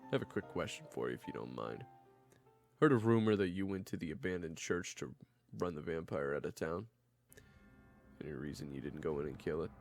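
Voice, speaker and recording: A man acts out a brash, inquisitive young investigator in a fantasy audio drama. His low voice is gravelly and very animated. He has a casual, needling manner, with music clearly underneath. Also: brisk, full character voice. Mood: prodding. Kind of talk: fantasy audio roleplay acting.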